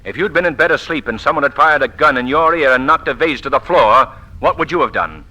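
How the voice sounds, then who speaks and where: Self-assured male avenger, incisive and very sharp, dramatic rises and falls, declamatory, old-time thriller, faint recording noise. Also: mid-range voice, lively intonation, a little fast, poor audio. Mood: commanding. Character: commanding, self-assured gentleman sleuth and mysterious avenger. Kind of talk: 1940s radio acting.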